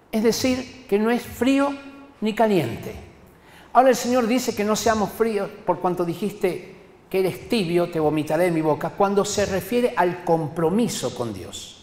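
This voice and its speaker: An older man from Argentina, preaching a sermon in an emphatic, deliberate manner and addressing his listeners directly. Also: high-pitched, darkish tone. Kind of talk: sermon to a congregation. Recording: echoey hall with long reverb.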